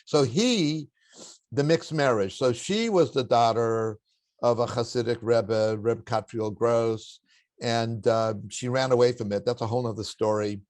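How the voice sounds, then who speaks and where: An older man with a baritone voice, a guest in an interview, telling family stories in a reflective, anecdotal way with occasional chuckles. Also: unhurried, dry recording. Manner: warm anecdotal storytelling with chuckles. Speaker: interview guest, spiritual teacher.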